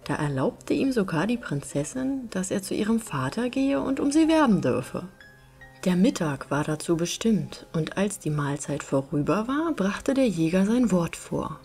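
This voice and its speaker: A woman narrating a fairy tale as an audiobook reader, in an expressive storytelling style. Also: fairly low pitch, moderate pace, husky, soft background music.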